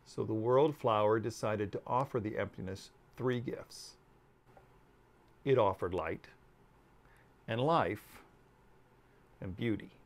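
A man in his fifties giving a dramatic storybook reading, deliberate and expressive with dramatic pauses. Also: fairly low pitch. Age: 50s. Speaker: author reading aloud. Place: book-lined room at home.

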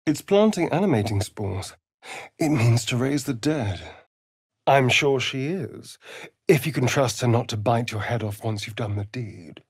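A man with a British accent and a breathy voice playing a vain, sardonic, flirtatious vampire spawn in a video game, with an arch, mocking drawl. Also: mid-range voice, lively intonation, unhurried, dry recording, studio quality. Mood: dry, disdainful. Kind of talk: theatrical video game character acting.

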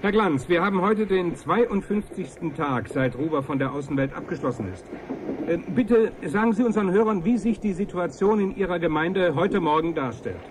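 An actor in a radio play playing a formal, diplomatic news presenter with a measured, placating manner. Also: male voice, high voice, animated. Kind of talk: acted radio news broadcast. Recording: 1980s radio drama, faint noise.

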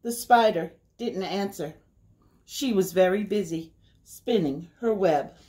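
A middle-aged woman hosting a children's story time, reading aloud in a deliberate, sing-song way. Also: medium pitch, a little mellow. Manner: slow, deliberate, sing-song repetition for young listeners. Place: small indoor room by a window.

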